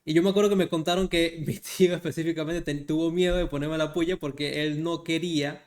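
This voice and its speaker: Young male host, fairly high voice, friendly and a bit hesitant. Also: fairly even intonation, brisk. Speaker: interviewer. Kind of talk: casual interview chat.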